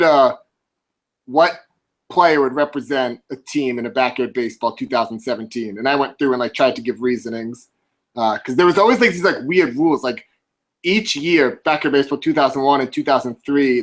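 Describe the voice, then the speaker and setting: A man in his twenties, a blogger guesting on a podcast, talking casually, laughing easily and saying "like" a lot. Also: mid-range voice. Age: 20s. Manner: chatty, laughs easily, lots of "like".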